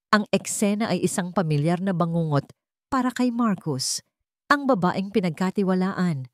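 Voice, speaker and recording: Low-voiced woman narrating dramatic fiction. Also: dry recording, studio quality. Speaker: storyteller. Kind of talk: dramatic scripted story narration.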